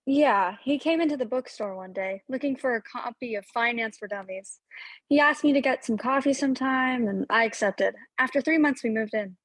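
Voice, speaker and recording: A woman's voice: a little high, normal speed, a bit bright, dry recording, poor audio. An actress playing a candid, wronged young ex-girlfriend in a radio play, reflective yet ready to confront. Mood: reflective, regretful. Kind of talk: radio play interview and dialogue.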